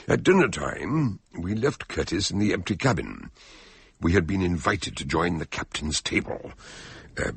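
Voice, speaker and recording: An actor playing a cautious gentleman who narrates a radio mystery in a measured, slightly hesitant manner. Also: sits low, vocal fry, slightly rough, dry recording, heavily acted. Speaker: narrator and character in a radio drama. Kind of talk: radio drama acting and narration.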